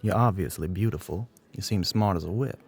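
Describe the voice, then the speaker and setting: A man playing a smug, flirty, middle-aged bartender with a Southern US accent in an intimate ASMR roleplay, smooth-talking and often dropping toward a whisper. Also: sits low, a little fast, darkish tone, full character voice. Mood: earnest, coaxing. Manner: drawling, smooth-talking, pet names, often breathy near-whisper.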